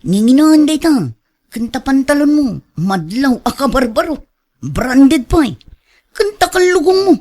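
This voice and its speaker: A woman's voice: high voice, animated, a little slow, a little mellow. A woman playing an outspoken, sceptical, teasing female relative in an Ilocano radio drama, with an Ilocano accent. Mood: teasing, mocking. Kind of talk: Filipino radio drama acting.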